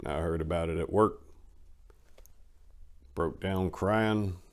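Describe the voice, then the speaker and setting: A man's voice: low voice, slow pace. A man telling a personal story in a blunt, folksy, slangy way.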